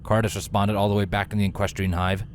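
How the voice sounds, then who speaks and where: Low-voiced man narrating a dramatic story. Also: flat delivery, quick pace, a little hiss or hum. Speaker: fan fiction narrator.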